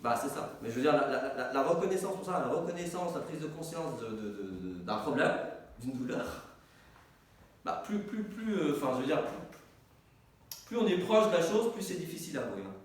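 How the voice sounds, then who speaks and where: A male teacher whose first language is Arabic talks through ideas with a small group, circling back with repeated words and questions he answers himself. His voice sits a touch high for a man. The sound is heavily echoey, as if the mic were set far off in a bare room with a faint hiss. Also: sing-song, fairly quick. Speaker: teacher, institute director. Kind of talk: informal teaching discussion with a group.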